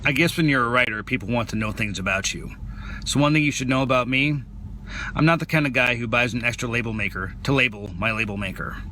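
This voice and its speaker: Man, deadpan comic monologue, mid pitch, traffic noise around. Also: fast talker. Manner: deadpan, self-mocking delivery. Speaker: comedy writer and performer.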